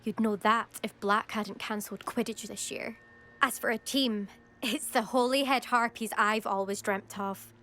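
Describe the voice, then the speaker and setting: A woman's voice: a little high, steady tone, moderate pace, sharp tone. A woman voicing a competitive teenage schoolgirl in a video game, confident and teasing. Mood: cocky, teasing. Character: competitive, cocky teenage schoolgirl broom racer.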